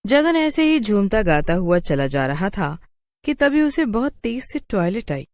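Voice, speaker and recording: A woman's voice: mid-range voice, sing-song, unhurried, a little mellow. A woman narrating a scripted cartoon story in a dramatic storytelling style.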